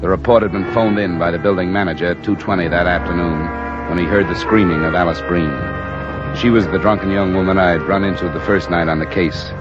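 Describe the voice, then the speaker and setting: An actor playing a tough, weary male insurance investigator who narrates his own case in a 1950s radio drama, with a low, clipped manner. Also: steady tone, a little fast, loud music behind the voice, lightly acted. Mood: steady narration. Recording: dull lo-fi old radio broadcast.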